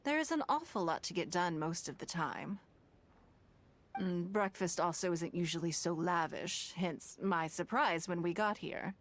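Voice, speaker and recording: An actress playing a poised, courteous woman leader in a video game, speaking formally with measured, eloquent phrasing and dry wit. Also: fairly low pitch, lively intonation. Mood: gracious, relaxed. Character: poised, commanding, courteous wealthy woman leader, dryly teasing. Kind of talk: scripted video game dialogue. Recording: music under the voice.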